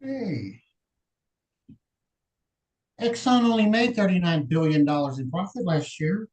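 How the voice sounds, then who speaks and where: A man from Texas co-hosting a sewing livestream over a video call, chiming in with quick jokes. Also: sits high, lively intonation, darkish tone. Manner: quick joking interjections. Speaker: livestream co-host. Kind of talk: casual livestream chat.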